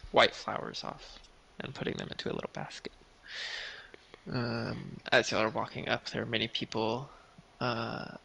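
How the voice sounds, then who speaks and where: Man, gravelly, bright voice, improvised game narration, halting phrasing. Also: mid-range voice, slight background noise, poor audio. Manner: halting, with trailing, unfinished phrases. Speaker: game master.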